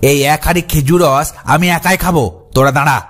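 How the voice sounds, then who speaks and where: An actor playing a bossy, boastful, scheming gang leader in a comic cartoon, with a pompous, blustering, scolding manner. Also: male voice, a little high, fairly even intonation, fast, fairly bright tone. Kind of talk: exaggerated comic cartoon dialogue. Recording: music under the voice.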